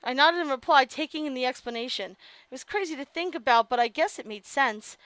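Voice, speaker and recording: A woman's voice: high voice, expressive, fairly quick, a bit bright, no room sound. A young woman game streamer reading a visual novel aloud and breaking off into chatty asides to her viewers, her voice strained from hours of talking. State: losing her voice.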